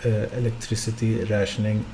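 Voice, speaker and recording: A man's voice: on the low side, little pitch movement, normal speed, warm tone, slight background noise. A Norwegian man, a non-native English speaker, hosting a solo stock market podcast in an unscripted way with many hesitations and rhetorical questions. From Norway. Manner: unscripted, hesitant with frequent fillers; asks the listener rhetorical questions.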